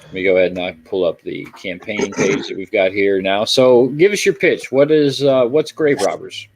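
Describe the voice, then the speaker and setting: Male podcast host, lowish voice, relaxed, keeps the chat moving. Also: moderate pace. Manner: easygoing, moves the talk along.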